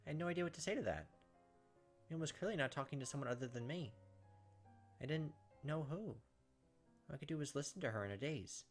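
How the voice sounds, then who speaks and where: A man's voice: a little high, lively intonation, quick pace, pauses often. Casual young man over game music. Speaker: gaming video host. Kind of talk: casual game commentary mixed with reading narration aloud.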